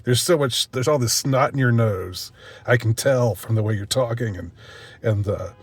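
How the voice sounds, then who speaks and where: A man's voice: medium pitch, breathy edge. Congested middle-aged man rambling. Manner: halting, many fillers and restarts; self-deprecating, wry. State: nasally congested. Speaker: vlogger. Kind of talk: rambling solo monologue.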